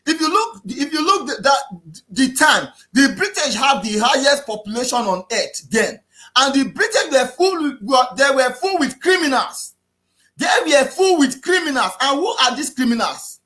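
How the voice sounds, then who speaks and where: A man with a Nigerian accent giving an impassioned political talk, repeating himself and often raising his voice to a shout. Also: very high-pitched, sing-song, normal speed. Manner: preachy, repetitive, often shouting. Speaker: activist speaker.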